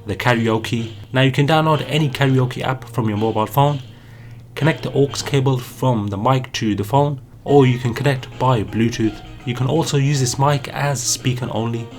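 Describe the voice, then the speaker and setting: A man narrating a scripted product review as the host of a review channel. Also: medium pitch.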